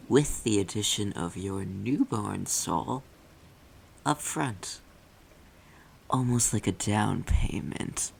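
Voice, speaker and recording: A man playing a silky, possessive demon in an ASMR roleplay, speaking deliberately and teasingly in a low, gravelly voice. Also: a little hiss or hum, heavily acted. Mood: seductive, sly. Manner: slow, deliberate, teasing, theatrical. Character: possessive, silky demon claiming an owned soul.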